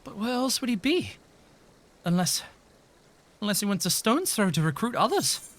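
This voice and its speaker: A man puts on a grave, troubled video game character. The voice is high and bright, swinging widely with theatrical anguish. The speech is formal and pleading, with music underneath. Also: very animated, normal speed. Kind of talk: dramatic read-aloud of video game dialogue.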